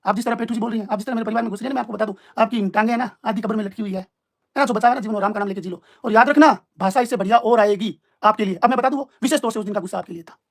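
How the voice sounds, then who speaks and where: A man's voice: high-pitched, rapid-fire, gravelly. A man in an informal live-stream argument, explaining himself in an emphatic, repetitive, combative way.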